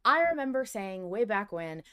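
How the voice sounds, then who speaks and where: A woman co-hosting a fan discussion podcast, chatting casually in an emphatic, expressive, sweary way. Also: mid-range voice, moderate pace, clear, resonant tone. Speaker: podcast co-host.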